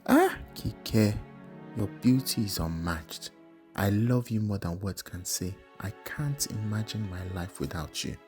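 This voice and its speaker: A man's voice: on the low side, a little slow, a little mellow, soft background music. A man playing a charming young farmer's son, a tender and earnest lover in a folktale drama.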